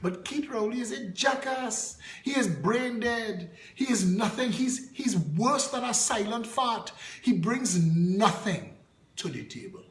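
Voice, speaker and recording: Fiery high-voiced Trinidadian man. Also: expressive, takes it slowly, roomy sound. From Trinidad and Tobago. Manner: fiery, scornful, rhetorical questions. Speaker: political party leader. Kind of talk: impassioned political address.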